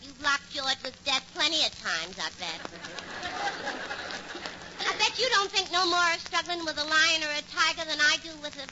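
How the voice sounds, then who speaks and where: Through loud, muffled old-radio noise comes a gabby, dim New York dame. Her voice is very high and swoops about, gushing in scatterbrained, ungrammatical chatter for 1940s radio comedy. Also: lively intonation, normal speed. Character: gabby, man-hungry, dim young woman.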